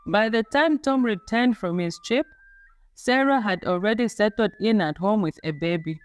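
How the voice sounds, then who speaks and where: A woman reading a scripted folktale as a storyteller, in a measured, dramatic storybook delivery. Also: mid-range voice. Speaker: storyteller, narrator. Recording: music playing under the voice. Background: background music.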